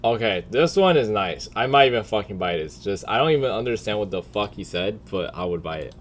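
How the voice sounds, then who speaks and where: A man's voice: fairly low pitch, lively intonation, a little fast. A man giving casual, slangy reaction commentary as a streamer, blunt and full of swearing. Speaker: streamer and video creator.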